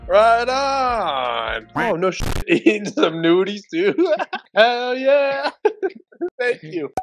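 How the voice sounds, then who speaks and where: A laid-back young American man chats over a webcam from home, laughing easily. His voice sits a little high and moves a lot, with faint music in the background. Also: sing-song, drawn-out words, creaky. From Colorado, USA. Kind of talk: casual video chat. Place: home room indoors. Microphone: webcam on video call.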